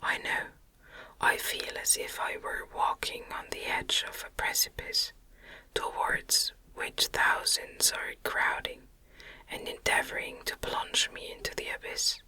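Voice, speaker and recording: A woman reading a gothic novel as ASMR in a gentle, soothing, breathy whisper. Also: a little slow. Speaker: audiobook reader.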